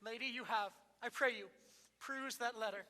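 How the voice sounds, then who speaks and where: A man's voice: very high-pitched, brisk, pushed and bright. A man playing a pompous, aggrieved steward in Shakespearean stage acting, in an echoey hall. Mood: wounded, formal. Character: pompous, aggrieved steward, humiliated and self-important.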